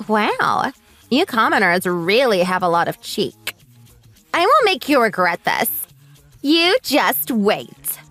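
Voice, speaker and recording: A woman's voice: medium pitch, sing-song, takes it slowly, fairly bright tone, faint music behind, exaggerated voice. A woman voicing a snobbish, bullying rich mother in a radio drama, haughty, sneering and exaggerated. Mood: smug, imperious.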